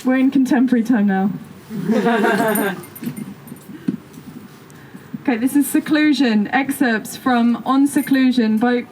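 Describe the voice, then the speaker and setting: A woman's voice: on the high side, takes it slowly, pauses often, darkish tone, a little hiss or hum, low-quality recording. A woman giving a live performance reading of stories and texts in a theatrical storytelling manner. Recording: music behind the voice. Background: music.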